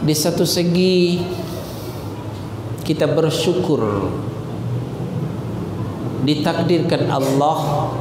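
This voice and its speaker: A high-voiced man from Indonesia delivers a Ramadan sermon at an unhurried pace. He throws rhetorical questions at the audience and stretches out his Arabic recitations. His voice rings through a large, echoing hall, with loud room noise underneath. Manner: rhetorical questions, wide swings in pitch and loudness; slow, drawn-out recitation of Arabic verses. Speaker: preacher.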